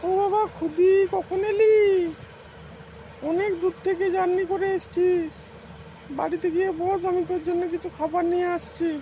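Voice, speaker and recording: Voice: very high-pitched, little pitch movement, moderate pace, warm tone. A performer voicing a kindly, doting aunt in a comic cartoon, fussing and caring. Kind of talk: amateur comic cartoon dubbing. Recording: muffled, faint background noise.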